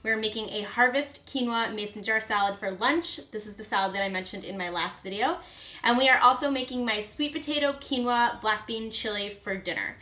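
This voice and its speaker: Bright-voiced young woman hosting a cooking show. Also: on the high side, a little fast. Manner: friendly, chatty, addresses viewers directly. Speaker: cooking channel host. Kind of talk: upbeat recipe walkthrough. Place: home kitchen, indoors.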